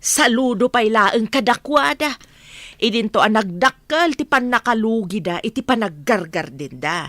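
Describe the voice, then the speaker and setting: A woman's voice: a little high, lively intonation, normal speed, a bit bright, dry recording. An actress playing an irritable, scornful middle-aged mother in a radio drama. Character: scornful, irritable mother who disdains churchgoing. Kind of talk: acted radio-drama dialogue.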